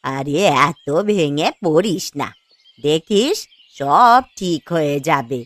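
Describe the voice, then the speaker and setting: A woman voicing a kindly old village granny in a cartoon story, with slow, drawn-out, motherly speech. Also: low-pitched, expressive, no echo. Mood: consoling. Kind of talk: Bengali cartoon story acting.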